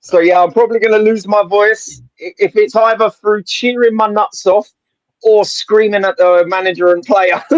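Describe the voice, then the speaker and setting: High, excitable man. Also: unhurried, no echo, highs cut off. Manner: excitable, laughs often, says innit and mate. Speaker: panel guest. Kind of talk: casual football chat.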